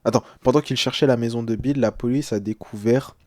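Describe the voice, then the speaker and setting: A man's voice: medium pitch, fairly even intonation, a little fast, darkish tone, dry recording, clean studio sound. A young man speaking as a streamer in casual live reaction, translating text aloud with slangy, hesitant, uneasy commentary.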